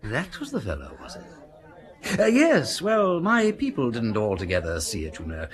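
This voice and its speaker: An actor playing an affable, diffident aristocratic gentleman with an upper-class English accent, politely hesitant and understated, in a radio drama. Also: medium pitch, huge pitch swings, normal speed. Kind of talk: radio comedy-drama acting.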